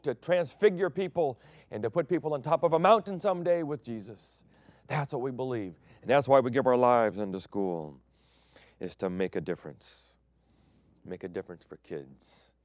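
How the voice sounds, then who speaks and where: Dynamic preacher man, loud then hushed. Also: medium pitch, dry recording. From Thailand. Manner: swings between loud emphatic declarations and soft hushed phrases. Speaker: pastor. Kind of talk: sermon broadcast.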